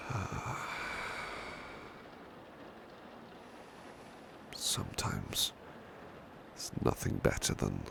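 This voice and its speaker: A devoted, protective British butler, acted by a man for an ASMR roleplay, with an extremely low voice full of heavy creak. He speaks very slowly and softly, in formal, courteous phrases that often sink toward a whisper. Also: heavily acted. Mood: gentle, inviting. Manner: formal, courteous, hushed and hesitant, with long pauses. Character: devoted, gentle, protective male butler of an estate. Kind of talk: ASMR roleplay, soft intimate speech slipping into whispers. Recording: clear steady rain-like background noise.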